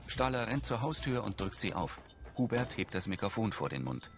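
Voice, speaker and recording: Male audio-description narrator, low voice with lively intonation, scripted, loud music underneath. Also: normal speed, vocal fry. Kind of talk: scripted present-tense scene narration.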